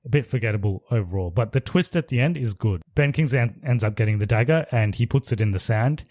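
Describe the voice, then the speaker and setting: A man's voice: mid-range voice, lively intonation, fast talker, darkish tone, no echo, clean studio sound. A man co-hosting a podcast, casually reviewing a movie in a rambling, laid-back way. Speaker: podcast co-host.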